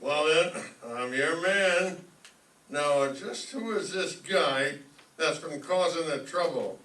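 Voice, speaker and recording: Man as a cocky slangy boyfriend, bright, forceful. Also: fairly high pitch, slow. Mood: boastful. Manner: swaggering, slangy. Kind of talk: old-time radio comedy acting on stage. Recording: echoey stage, distant microphone.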